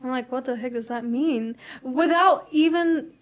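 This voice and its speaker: A woman's voice: sits high, normal speed. A young adult woman from South Africa, a guest in a casual interview, talking reflectively and chattily and laughing easily.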